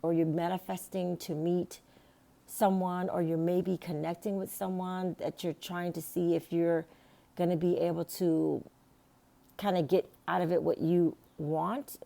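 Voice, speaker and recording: A woman with a raspy, hoarse voice giving a casual tarot reading, rambling with many fillers and restarts. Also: on the low side, flat delivery, unhurried, warm tone. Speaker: tarot reader.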